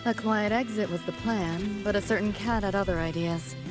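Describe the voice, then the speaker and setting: A woman's voice: medium pitch, normal speed, loud music behind the voice, lightly acted. An actress playing a wistful, laid-back young woman with street slang, talking casually and in clipped phrases in a game cutscene. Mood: wistful, resigned. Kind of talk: video game cutscene dialogue.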